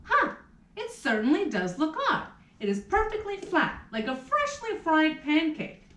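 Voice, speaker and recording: A woman playing a prim, chatty, officious newspaper clerk in a theatrical puppet show, with a brisk, fussy, exaggerated delivery. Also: high-pitched, wildly swooping pitch, a bit bright, exaggerated voice. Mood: nosy, chatty. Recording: roomy, distant rehearsal room recording.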